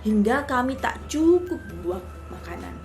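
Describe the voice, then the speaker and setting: Woman voicing a gullible, animated storybook crocodile. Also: fairly high pitch, unhurried, faint music behind. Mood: naively pleased. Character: gullible, hungry crocodile, grumpy but trusting. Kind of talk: children's storybook character voice.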